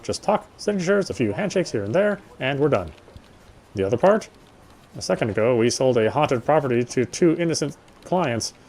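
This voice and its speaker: A man with a medium-pitched voice reads story text from a game aloud. He is casual about it, drops in his own comments and now and then trips over a line and rereads it. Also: lively intonation, normal speed, a little mellow. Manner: stumbles and rereads lines. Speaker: gamer reading a visual novel aloud. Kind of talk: reading game narration with casual asides.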